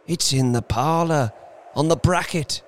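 A man's voice: low-pitched, drawn-out words, dark tone, a little hiss or hum. A man voicing an aghast, fearful old husband in a story reading, with unsteady, faltering delivery. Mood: bewildered.

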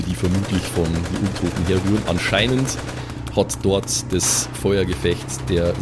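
A man's voice: low-pitched, noisy background. A man, improvising the narration of a pen-and-paper audio play as game master. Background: music and outdoor ambience.

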